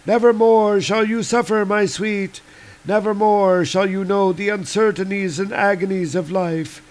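Man doing a sinister serpent god, silky and slow, voice pitched up high. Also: steady tone, poor audio. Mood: silkily menacing. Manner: slow, crooning, theatrical menace. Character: sinister ancient male serpent god, silken and cruel.

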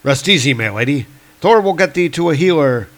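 A man doing a booming, noble thunder god. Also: animated, fairly quick, fairly bright tone. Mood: heroic. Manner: booming, archaic heroic declaiming. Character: mighty male thunder god hero, bold and noble.

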